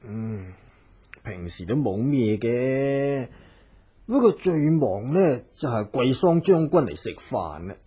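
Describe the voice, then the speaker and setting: A doting, simple-minded fat husband drawls slowly in husky Hong Kong Cantonese. He is fawning, in radio play style, on a muffled lo-fi recording. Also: medium pitch, lively intonation. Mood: easygoing, reassuring. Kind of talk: Cantonese radio drama acting.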